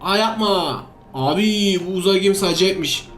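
A man giving playful, excitable game commentary, full of exclamations and repeated commands. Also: sits high, normal speed. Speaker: gaming video host. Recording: roomy echo, music underneath. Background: background music.

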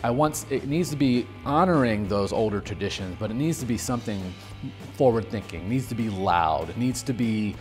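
A man on a music school's staff answering interview questions in informal, halting phrasing. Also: on the low side, animated, darkish tone. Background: faint music.

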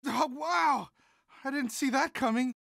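A man voicing a sweet, timid young monster student in an animated video game, speaking in a hesitant, polite, childlike and earnest way. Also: very high voice, unhurried, vocal fry, no echo, studio quality, heavily acted. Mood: surprised. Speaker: video game character voice actor.

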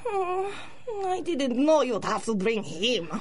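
A woman's voice: high voice, very animated, slow, darkish tone, exaggerated voice. A woman playing a stubborn, scolding older immigrant mother in a radio drama, with clipped foreign phrasing. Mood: disapproving. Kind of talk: 1930s-style radio drama acting.

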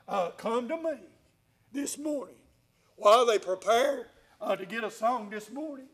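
A man's voice: high-pitched, a bit bright. A man preaching earnestly and plainly as a pastor, repeating phrases for emphasis. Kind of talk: evangelical sermon and church address. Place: large church sanctuary, indoors.

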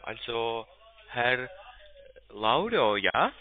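Halting man on a tinny phone line. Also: medium pitch, big pitch swings. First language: not German. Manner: halting, repetitive. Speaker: call center agent. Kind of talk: halting sales pitch by phone.

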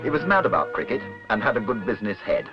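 A man's voice: medium pitch, big pitch swings, fast. A man reading scripted documentary narration. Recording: muffled old film soundtrack with music. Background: music.

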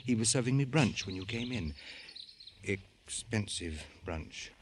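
A resentful, cultured intellectual in an old-time radio drama. The voice is fairly low, the wording precise and theatrical, full of sardonic bitterness. The old recording carries slight noise. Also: male voice, animated, a little slow. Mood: subdued, wry. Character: bitter, cultured male doctor, resentful brother of a rich man.

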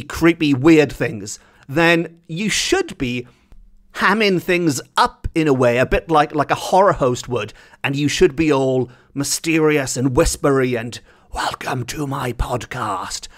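Higher-pitched man hosting a podcast. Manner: informal, self-deprecating. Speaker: podcast host. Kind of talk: casual chatty podcast talk.

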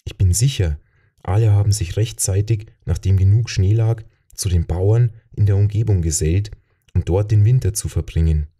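A man's voice: on the low side, normal speed, dark tone, breathy edge, far from the mic. A man voicing a kind, reassuring woman companion in a fantasy audiobook. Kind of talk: audiobook character voice.

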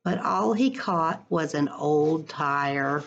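A middle-aged woman reading a story aloud to children in a deliberate, expressive way, recorded on a computer at home. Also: deep voice, muffled recording, slight background noise. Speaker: school educator reading to children. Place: living room at home. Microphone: computer webcam at arm's length.

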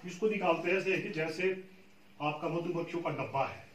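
A man's voice: fairly high pitch, brisk, fairly bright tone, a little hiss or hum. A man explaining beekeeping patiently to a group of farmers, often checking that they follow. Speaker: beekeeping trainer. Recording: echoey room, far from the mic.

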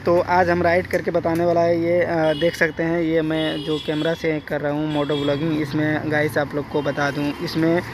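Young male motovlogger, rambling commentary while riding, monotone, wind and road noise. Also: a little high, normal speed, hardly any pauses. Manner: rambling, chatty, addresses viewers as guys. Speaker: moto vlogger. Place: outdoors on a motorcycle on rural roads. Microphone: helmet-mounted action camera.

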